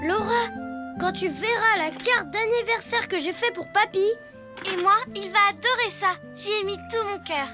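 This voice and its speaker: A woman's voice: very high voice, moderate pace. A woman voicing a perky, chatty young child in a French cartoon. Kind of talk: French cartoon dub acting. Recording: music behind the voice.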